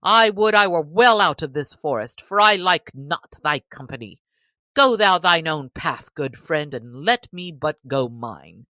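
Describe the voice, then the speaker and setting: A woman's voice: low voice, animated, unhurried, bright, forceful tone, no echo, heavily acted. Woman reading as a greedy, suspicious sheriff. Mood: nervous. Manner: suspicious, grumbling, archaic speech. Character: greedy, wary middle-aged male sheriff. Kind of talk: audiobook character reading.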